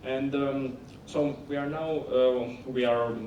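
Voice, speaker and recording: An Italian-accented man answers on a conference panel, stopping and restarting often with plenty of fillers. His voice sits at a middling pitch and is picked up from a distance in an echoey hall. A steady low rumble runs underneath. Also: dull audio. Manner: halting, many fillers and restarts. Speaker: panelist, company founder.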